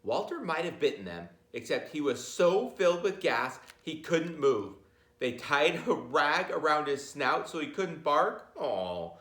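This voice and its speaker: A man reads a picture book to children with a very lively, swinging pitch. He is informal and jokey, moving between storytelling and chatty asides. The room around him is noticeably echoey. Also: a little slow. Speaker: teacher reading a picture book aloud. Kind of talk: animated children's read-aloud with chatty asides.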